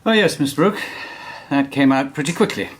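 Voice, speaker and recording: A brisk police inspector. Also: male voice, fairly high pitch, expressive, husky, low-quality recording. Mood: measured. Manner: brisk, commanding, dry. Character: brisk Victorian police inspector, middle-aged man. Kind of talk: radio drama acting.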